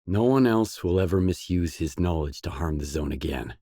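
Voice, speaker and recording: An actor playing a determined, brooding stalker in a grim video game, with a measured, serious, understated delivery. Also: male voice, animated, warm tone, no room sound, studio quality. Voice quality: low, husky. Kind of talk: game voice acting, isolated dialogue lines.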